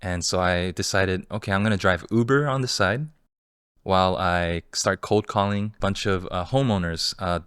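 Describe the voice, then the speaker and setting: Deep-voiced man telling his life story. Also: moderate pace, smooth, no echo, clean studio sound. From Los Angeles, California. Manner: relaxed, candid, many fillers. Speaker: guest, entrepreneur and fund manager. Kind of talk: casual interview storytelling.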